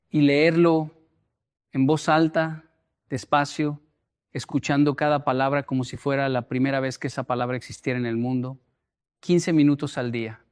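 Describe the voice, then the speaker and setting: Guy doing a motivational talk, slightly high voice, emphatic, repeats stuff for effect. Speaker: motivational speaker.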